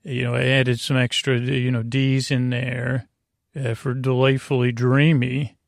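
A man with a creaky voice hosting a sleep podcast, rambling in a lulling, meandering, halting way. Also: mid-range voice, little pitch movement, dry recording, studio quality. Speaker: podcast host.

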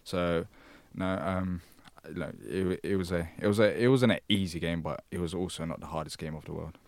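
A man talking through a football match on the radio, hesitant and full of fillers but measured. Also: sits low, no echo. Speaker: studio guest pundit. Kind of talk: casual football analysis.

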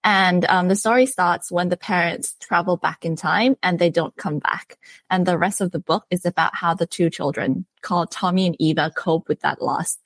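A young woman from Thailand, a guest author, speaks in a fairly low voice. Her talk is chatty and friendly, peppered with fillers. The sound is close and dry, with no room in it. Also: normal speed, no room sound. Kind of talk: casual interview chat.

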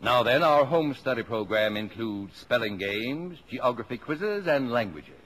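An actor playing a brisk, proud, bossy middle-aged father with pompous, formal phrasing in a 1950s radio play. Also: mid-range voice, animated, fairly bright tone. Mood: pompous, explaining. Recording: noisy vintage 1950s radio recording.

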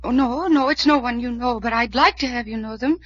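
A woman's voice: a little high, brisk, fairly bright tone, no room sound. An actress playing an anxious young woman in an old-time radio mystery serial. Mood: brisk, friendly. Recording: noisy dull old radio recording.

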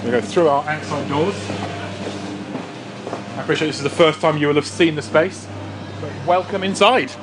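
A man's voice: fairly high pitch, normal speed, pauses often. A man giving an informal, explanatory interview on location as an official, repeating words as he goes. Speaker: council head of leisure, interview guest. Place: inside a big top tent. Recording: faint on-location background noise.

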